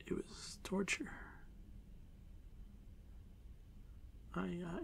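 A man voicing a shy, haunted drider monster boy in an ASMR fantasy roleplay, stammering softly and often slipping into breathy whispers. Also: high voice, little pitch movement, measured pace, full character voice. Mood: anguished. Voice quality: soft, breathy, creaky.

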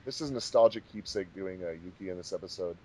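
A man's voice: low voice, fast talker, warm tone, slightly rough, low-quality recording. A man chatting casually as a director on a group commentary track.

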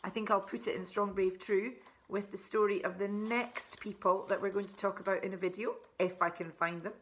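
A woman's voice: medium pitch, steady tone, fairly quick, slight background noise. A woman in her forties from Scotland, chatting as an author presenting a children's book and explaining things simply with asides. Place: furnished living room, indoors.

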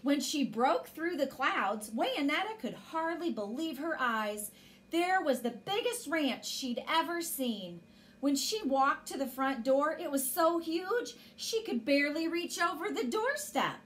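A woman's voice: high voice, animated, unhurried, fairly bright tone. A woman in her forties reading a children's story expressively as a storyteller, indoors.

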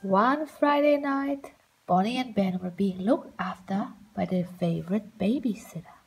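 A woman reading a picture book aloud to young children in an expressive, sing-song way. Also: mid-range voice, measured pace, breathy edge, soft background music, poor audio. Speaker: children's story reader.

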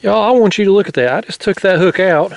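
A man's voice: high voice, fast talker, creaky. A young man with a Southern American accent, chatting to viewers in a folksy way while fishing from a riverbank at night, recorded on an action camera. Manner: folksy, talks to viewers as "y'all", chuckles often. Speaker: fishing vlogger. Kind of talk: casual outdoor commentary. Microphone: action camera on the bank.

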